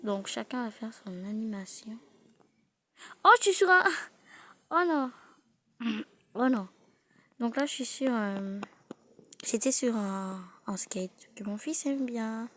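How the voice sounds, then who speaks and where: A young woman with a cold and a blocked nose, giving a casual, halting improvised tour commentary as a video host, often repeating words and exclaiming. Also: fairly high pitch, lively intonation, fairly bright tone. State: sick with a cold. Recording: noisy amateur recording with clicks.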